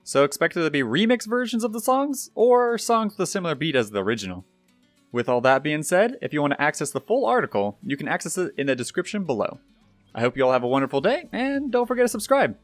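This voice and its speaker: Animated young man presenting, fairly high voice. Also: fast, dry recording. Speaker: YouTube gaming news host. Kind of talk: scripted video game news narration. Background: background music.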